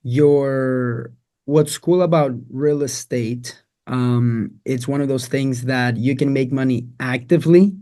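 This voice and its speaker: A man hosting an investing podcast, asking questions in a relaxed, encouraging way. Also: medium pitch, slow. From immigrant to the US. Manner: encouraging, repeats praise. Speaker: podcast host. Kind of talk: casual interview hosting.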